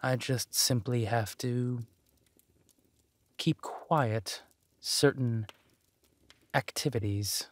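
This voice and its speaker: A man playing a ruthless, haughty duke in a villain audio drama, drawling deliberately and with menace. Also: medium pitch, no room sound. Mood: sly, scheming. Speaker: voice actor.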